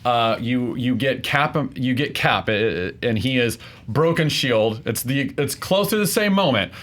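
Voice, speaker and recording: A man arguing his case as a contestant in a film debate, unscripted and passionate, halting at times and building emphasis through repetition. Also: mid-range voice, animated, a bit bright.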